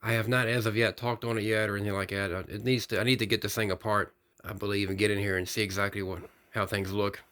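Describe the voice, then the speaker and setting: Fast-talking man thinking aloud. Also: on the low side, little pitch movement, fairly bright tone. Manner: rambling, technical, thinking aloud. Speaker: radio hobbyist video host. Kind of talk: unscripted product demonstration.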